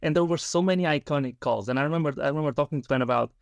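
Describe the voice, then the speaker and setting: A man's voice: fairly high pitch, vocal fry, no room sound, studio quality. A man from Europe, co-hosting a podcast, speaking enthusiastically with many restarts. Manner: enthusiastic, halting, repeats words. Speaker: podcast co-host. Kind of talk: casual podcast chat.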